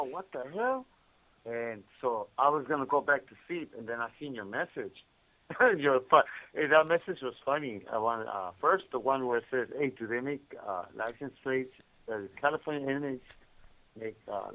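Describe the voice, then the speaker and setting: A man's voice: mid-range voice, animated, normal speed, sharp tone, poor audio. A man calling by phone from prison, chatting casually in slangy, repetitive bursts. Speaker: incarcerated caller. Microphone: phone call.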